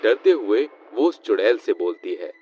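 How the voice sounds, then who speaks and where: A man's voice: lowish voice, very animated, moderate pace, dark tone, slight background noise. A man narrating a scripted story as a storyteller. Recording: muffled lo-fi sound with background music.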